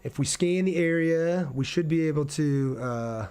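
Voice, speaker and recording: A man's voice: on the high side, unhurried, a little mellow, clean tone. American man chatting on a game livestream. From Ohio, United States. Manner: conversational, addresses viewers directly. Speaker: live streamer playing a video game. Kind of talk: casual gameplay livestream chat.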